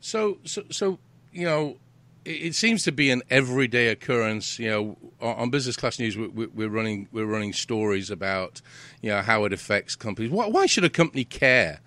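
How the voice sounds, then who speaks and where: A man's voice: medium pitch, animated, fairly quick, pushed and bright. A British man hosting a casual interview, rambling, repeating words and restarting sentences. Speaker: host.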